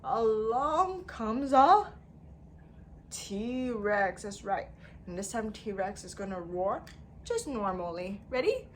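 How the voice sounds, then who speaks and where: A woman's voice: medium pitch, very animated, takes it slowly, a little hiss or hum. A woman leading an interactive storytime lesson for young children, speaking in an exaggerated sing-song way with prompting questions and repetition.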